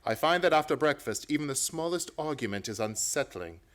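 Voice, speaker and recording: An actor playing a brilliant, severe, self-assured English detective in a radio play, with a clipped, imperious, theatrical manner. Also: male voice, on the high side, lively intonation, brisk, fairly bright tone. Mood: stern, disdainful.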